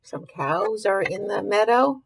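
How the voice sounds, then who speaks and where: Slow-paced woman reading to children. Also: mid-range voice, lively intonation, vocal fry, slightly rough, no room sound. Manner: questioning, musing aloud, explaining simply. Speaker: storyteller reading a picture book to children. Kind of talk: read-aloud with informal commentary to young children.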